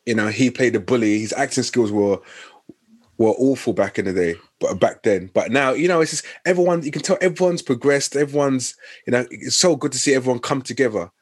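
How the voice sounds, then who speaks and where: Bloke chilling with friends, easygoing, mid voice. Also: male voice, mid-range voice, a little fast, slightly rough, dry recording. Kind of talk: casual group chat.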